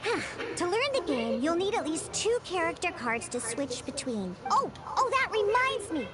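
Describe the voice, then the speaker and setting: Very high-pitched grumpy young catgirl. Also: sing-song, normal speed. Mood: impatient, explaining. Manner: huffy, exasperated, sighing. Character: grumpy young catgirl bartender who despises alcohol. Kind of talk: anime game voice acting. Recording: music behind the voice.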